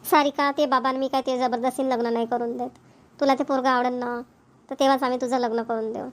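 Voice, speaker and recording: A woman's voice: sits high, flat delivery, quick pace, lightly acted. A woman voicing a caring, persuasive middle-aged village mother, with a coaxing, motherly manner.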